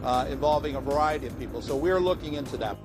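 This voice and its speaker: A man with an American accent making a halting, unscripted statement in a news clip. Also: a little high, over music.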